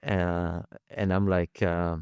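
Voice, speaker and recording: Low-voiced man telling his story. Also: flat delivery, darkish tone, clean tone, no room sound. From Lebanon. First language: French. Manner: halting, many restarts and fillers, swears casually. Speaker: podcast guest. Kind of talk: casual storytelling.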